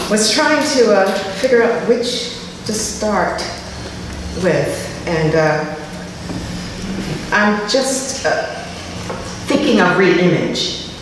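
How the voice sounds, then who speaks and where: A woman giving a live spoken-word poetry reading, with dramatic, deliberate delivery and informal asides. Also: mid-range voice. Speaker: poet reading at a literary festival. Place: live public reading in a reverberant room. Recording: echoey hall, distant mic, faint noise.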